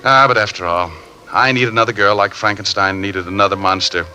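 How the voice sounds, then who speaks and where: An actor playing a wry, world-weary American detective recounting a case in a 1950s radio drama. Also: male voice, on the low side, steady tone, fairly quick, pushed and bright, soft background music, poor audio. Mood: wistful, wry. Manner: wry, conversational, rueful.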